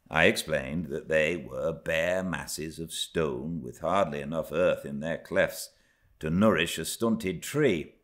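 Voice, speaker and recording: A middle-aged British man reading classic literature aloud as an audiobook narrator, in a measured, theatrical, expressive style. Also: very deep voice. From Britain.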